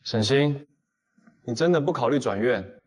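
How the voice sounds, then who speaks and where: A man's voice: mid-range voice, expressive, a little slow, darkish tone, slightly rough. A man acting out dialogue in an audio drama.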